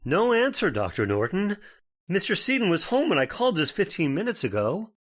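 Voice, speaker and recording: Man playing a harried, animated sheriff. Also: high-pitched, a little fast, exaggerated voice. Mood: exasperated. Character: harried, blustering small-town sheriff. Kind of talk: old-time radio drama acting.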